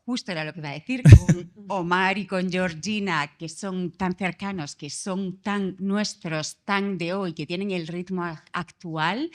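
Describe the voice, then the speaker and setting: A woman speaking on a panel at a public event, answering quickly and animatedly, often checking in with rhetorical tags. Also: on the low side. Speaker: director.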